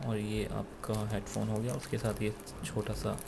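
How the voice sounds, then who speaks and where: A man with an Indian accent giving an unscripted gadget review in a halting, self-correcting way, switching between two languages. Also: lowish voice, flat delivery, gravelly, noisy background. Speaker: product reviewer. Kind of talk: unscripted gadget review, mixing Hindi with English.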